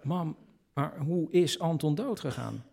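A man's voice: fairly high pitch, animated, creaky, a little mellow, full character voice. A man playing an exasperated, baffled adult son in a comic radio play recorded live in a theatre. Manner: baffled, halting, echoing words in disbelief. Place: theatre stage before a live audience.

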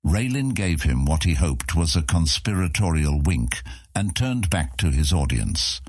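A man narrating a fantasy audiobook as a scripted story, with a gravelly, husky voice. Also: extremely low pitch, sing-song, unhurried, no room sound, clean studio sound.

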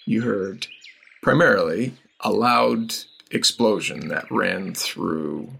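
A man narrating a tabletop game as game master, in an unhurried, halting way. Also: lowish voice, gravelly, fairly bright tone, breathy edge.

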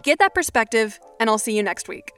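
A woman's voice: fairly high pitch, sing-song, fairly quick, a bit bright, soft background music. A woman hosting an advice podcast, moving between scripted narration and interview talk. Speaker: podcast host.

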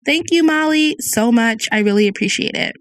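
A woman's voice: on the high side, normal speed, no room sound. Soothing woman podcast host, dry studio sound. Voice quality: slight speech impediment. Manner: friendly, conversational, reads listener reviews aloud. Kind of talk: solo podcast episode, chatty.

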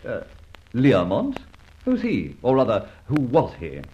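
An actor playing a suave, cheeky gentleman adventurer in an old radio drama, well-spoken and jaunty with theatrical exclamations. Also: mid-range voice, wildly swooping pitch, unhurried. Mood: amused, feigning surprise. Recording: muffled, hissy old radio recording.

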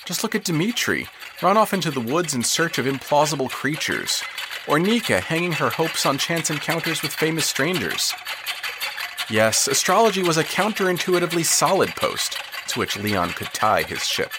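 Expressive, swooping male narrator. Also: fairly high pitch, moderate pace, background noise. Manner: dramatic, expressive. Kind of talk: scripted, literary audio drama narration.

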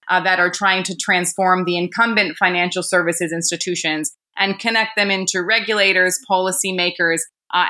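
A woman's voice: lowish voice, sharp tone. An American woman from the United States, a guest executive speaking fluently in a podcast interview. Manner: articulate, fluent with fillers.

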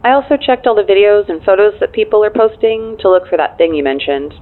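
An actress playing a dryly wry, efficient and loyal assistant in an audio drama, speaking through a phone filter with a deadpan manner. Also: female voice, medium pitch, fast talker. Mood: dry, teasing. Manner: deadpan, dry. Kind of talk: naturalistic audio drama acting. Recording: thin narrow phone-line sound.

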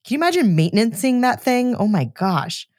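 A woman co-hosting a podcast, mixing scripted presenting with casual, expressive conversation. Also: on the high side, normal speed, no room sound, studio quality. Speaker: podcast co-host.

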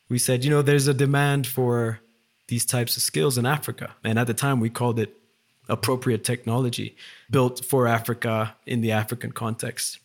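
A man in his forties, originally from Ethiopia, speaking as a guest at a middle pitch. He tells his story in a relaxed, reflective, conversational way with the odd filler. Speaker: company CEO, guest.

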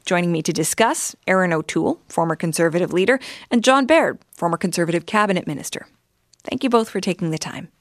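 A woman's voice: on the low side, animated, fast, no room sound, studio quality. A woman with a Canadian accent hosting a political interview programme as a broadcast interviewer. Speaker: radio host and interviewer.

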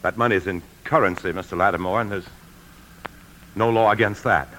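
Radio play lead: American bank man with a secret, deep pitch, tense and defensive. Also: fairly even intonation, fairly quick, sharp tone, slight background noise, low-quality recording. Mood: jittery, defensive. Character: nervous American bank employee husband hiding a secret. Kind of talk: 1950s American radio drama acting and narration. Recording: old radio broadcast with faint music.

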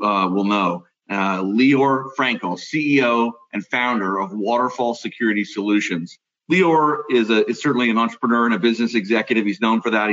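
A man's voice: on the low side, fairly bright tone, dull audio. A man hosting a podcast, talking in a chatty, conversational way with fillers. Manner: chatty, friendly introductions with fillers. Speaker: podcast host.

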